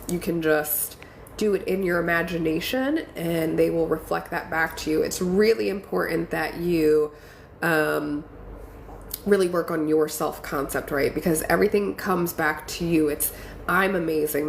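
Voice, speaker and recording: A congested woman hosting a casual live stream, reading viewer comments and encouraging them warmly. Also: low-pitched, unhurried, creaky. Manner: encouraging, affirming, chatty with fillers. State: congested from a cold. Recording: echoey room with faint ambient noise.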